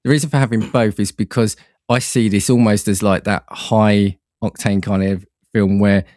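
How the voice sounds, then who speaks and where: A man's voice: fairly low pitch, steady tone, normal speed, a little mellow, dry recording, clean studio sound. A man speaking casually as a podcast guest, often restarting his phrases as he explains ideas. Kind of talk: casual chat.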